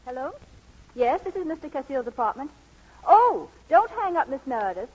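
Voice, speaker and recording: An actress playing a poised, quick-witted woman doctor in a 1940s radio comedy, articulate and dryly teasing. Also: sits high, big pitch swings, darkish tone. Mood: polite, wry. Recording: muffled old radio recording, faint noise.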